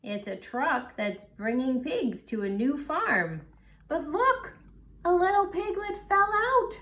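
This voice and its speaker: A woman reading a children's storybook aloud in an animated way, pausing to explain things simply to young listeners. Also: sits high. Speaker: storybook reader. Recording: roomy, echoing sound.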